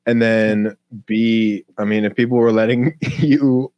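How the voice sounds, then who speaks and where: A man's voice: fairly low pitch, fairly even intonation, takes it slowly, a little mellow, dry recording, dull audio. An American man co-hosting a podcast, chatting casually with slangy, informal wording. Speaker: podcast co-host. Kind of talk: casual chat.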